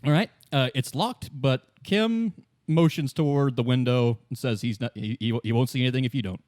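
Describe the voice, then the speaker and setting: A man narrating a tabletop roleplaying game as game master in a casual, halting, conversational way with dry asides. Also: mid-range voice, a little mellow, dry recording.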